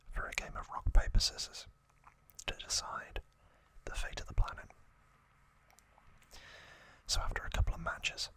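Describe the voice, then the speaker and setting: A man hosting ASMR, whispering a trivia recap in a breathy, hushed voice with short phrases and pauses. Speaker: ASMR host.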